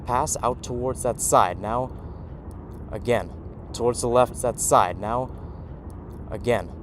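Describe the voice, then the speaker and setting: A man's voice: medium pitch, takes it slowly. A man doing live soccer play-by-play for radio from beside the field, mixing clipped calls with rambling asides. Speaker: play-by-play commentator. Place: outdoors at a youth soccer field. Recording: noisy outdoor ambience, traffic hum.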